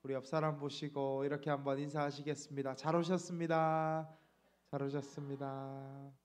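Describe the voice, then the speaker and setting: A man's voice: fairly high pitch, little pitch movement, normal speed. A man in his thirties, a pastor, giving a reflective midweek sermon with frequent fillers. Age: 30s.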